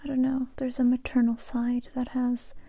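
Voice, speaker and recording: A young woman playing a kind but wary survivor interrogating someone in a soft, intimate, close-up ASMR whisper. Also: high voice, monotone, slightly rough. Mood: wistful, worried. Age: young adult. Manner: slow, hesitant, intimate close-up delivery. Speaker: ASMR roleplay performer. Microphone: close mic, whispering near the listener.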